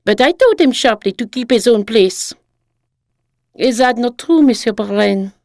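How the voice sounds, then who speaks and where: A woman's voice: fairly high pitch, expressive, unhurried, darkish tone, no echo, studio quality. A woman playing an elderly, romantic French shopkeeper's wife, confessing earnestly in a radio drama monologue. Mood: earnest, reminiscing.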